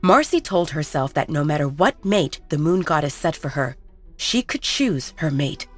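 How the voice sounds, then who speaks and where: A woman narrates a story in an even, measured manner, her voice low for a woman. Soft background music plays beneath her reading. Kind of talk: scripted audiobook narration.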